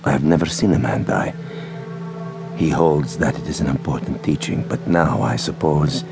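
A man playing a grave monk in a radio drama, solemn and slow-spoken. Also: extremely low pitch, vocal fry, over music. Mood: gentle, pious. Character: grave, gentle male monk at remote hermitage.

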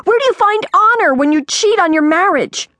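A woman voicing a furious, indignant teenage girl in an audiobook, yelling in outrage. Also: very high voice, animated, normal speed, dry recording, pitched above the performer's own voice, exaggerated voice. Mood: furious, threatening. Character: furious, dramatic teenage girl confronting her father.

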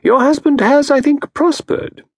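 A man voicing a calm, self-assured middle-aged consultant who speaks in a measured, soothing way. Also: extremely high pitch, no echo, clean studio sound, pitched above the performer's own voice.

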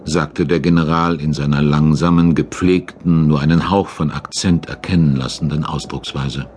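A male narrator with an extremely deep voice reads a dramatic scripted tale. Clear sound-effect noise runs behind him. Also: steady tone, moderate pace, a little mellow.

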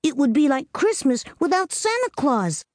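A woman's voice: high-pitched, big pitch swings, moderate pace, dry recording, studio quality, voice pushed up. A woman reading a storybook, voicing an earnest, commanding little girl who lays down rules. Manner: earnest, commanding, a bit solemn. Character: bossy imaginative little girl Amy.

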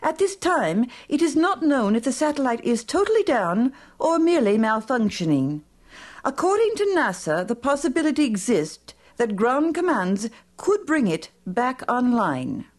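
A woman playing a formal, inquisitive broadcaster in an audio drama, with a measured presenter's delivery. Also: a little high, sing-song. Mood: newsy, formal. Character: brisk female broadcast newsreader and talk show host. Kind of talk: acted news broadcast and studio interview.

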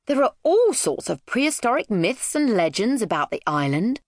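A woman voicing a gentle professor father in a children's story, earnest and explanatory. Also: on the high side, sing-song, normal speed, dry recording, studio quality, voice pushed up. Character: gentle, scholarly professor father lecturing his daughter.

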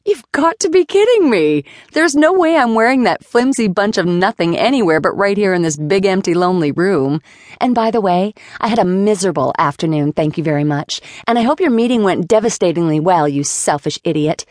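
A woman reads the part of a fiery young heroine whose voice jumps up high and races when she is annoyed. Her indignation tumbles out quickly and sharply. She sounds easily flustered, a storybook character in full protest. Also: very animated, mellow, dry recording, studio quality. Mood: indignant. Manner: high-pitched, quick and exasperated.

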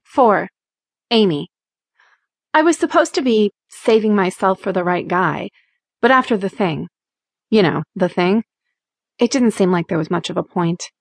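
A woman's voice: mid-range voice, lively intonation, a little slow, pauses often, no echo, clean studio sound. A woman playing a prim, hesitant young female zombie in an audiobook, speaking coyly. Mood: coy, embarrassed. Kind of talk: first-person audiobook character narration.

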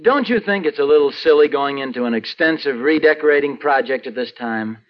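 A man's voice: on the high side, expressive, a little fast, natural voice. A man playing a mild, wisecracking husband in a 1940s radio sitcom, hedging and joking dryly. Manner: wry, mild, hedging, dry jokes. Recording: lo-fi old radio recording.

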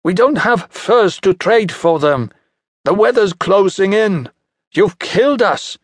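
A man's voice: high-pitched, lively intonation, slow, dry recording, pitched above the performer's own voice, exaggerated voice. A man doing a desperate young hunter. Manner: pleading, urgent.

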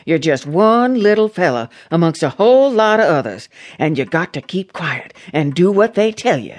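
A woman's voice: on the low side, sing-song, normal speed. Homespun, earnest rural American farm mother. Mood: anxious, tender. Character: plain-spoken, devout, anxious farm mother of a young soldier. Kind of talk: radio drama acting.

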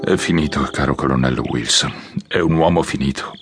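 Deep-voiced, earnest army general. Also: male voice, a little fast, music in the background. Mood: grave, conspiratorial. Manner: deferential, urgent, persuasive. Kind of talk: Italian audio drama acting.

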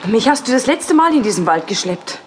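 A woman's voice: a little high, sing-song, fairly quick, a little hiss or hum. An actress playing a frightened young woman in a German horror audio drama, anxious and stammering with panic. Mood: anxious, stubborn. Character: frightened young woman lost in a haunted forest.

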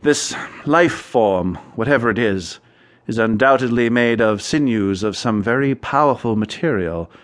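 A man's voice: mid-range voice, animated, a little slow, close to an everyday voice. Man acting an obsessed, erudite scientist. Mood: measured, assured. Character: obsessed, distraught male scientist studying fear. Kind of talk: radio drama acting.

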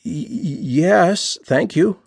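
A man's voice: on the high side, big pitch swings, unhurried, vocal fry, a little mellow, slightly rough, no room sound, clean studio sound, heavily acted. A man voicing a shy, smitten young woman in a story, in a bashful, earnest and dreamy manner. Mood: flustered.

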